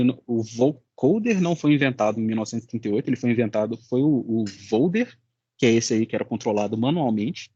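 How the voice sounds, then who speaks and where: A young Brazilian man giving an informal explanatory presentation as a student, often halting to search for words. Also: mid-range voice, warm tone, husky, no echo, dull audio. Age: young adult. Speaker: student presenting final project.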